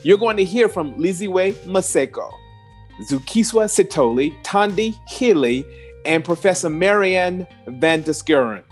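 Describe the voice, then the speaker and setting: A man's voice: high-pitched, lively intonation, measured pace. A man reading a scripted introduction to a talk series in a promotional tone. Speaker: series host. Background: guitar music.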